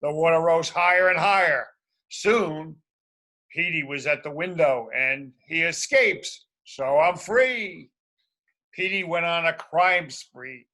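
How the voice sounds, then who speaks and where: A man reads a children's story aloud with deliberate emphasis. His voice is bright and fairly high, and he takes his time, speaking expressively for young listeners. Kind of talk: read-aloud story for schoolchildren.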